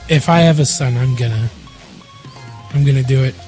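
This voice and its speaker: A man with a husky, mellow voice chats casually on a podcast, still getting over a bad illness. He jokes crudely and loosely with his friends, with faint music playing underneath. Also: mid-range voice, moderate pace. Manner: crude joking, loose and playful. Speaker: podcast co-host.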